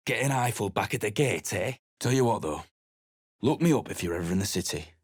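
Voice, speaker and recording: A weary, foul-mouthed mercenary for a video game, speaking gruffly and bluntly. His voice sits low, with a sarcastic edge to every remark. The sound is dry and close, with no room around it. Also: animated, brisk, no echo. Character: surly male mercenary adventurer, blunt and weary. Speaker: game character.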